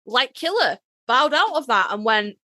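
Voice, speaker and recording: A woman's voice: on the high side, big pitch swings, normal speed, pushed and bright, no echo, clean studio sound. A woman chatting casually as a podcast host, emphatic and swearing freely. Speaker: author.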